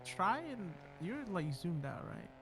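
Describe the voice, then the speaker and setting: A man's voice: sits high, big pitch swings, measured pace, music in the background. A man chatting easygoingly while playing a game, giving friendly tips. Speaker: fellow player. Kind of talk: casual gaming chat.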